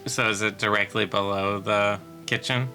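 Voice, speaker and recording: A man's voice: lowish voice, steady tone, moderate pace, bright, forceful tone, soft background music. A man playing a tabletop game, giving short, joking replies and stating his moves. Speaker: player. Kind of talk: casual tabletop play.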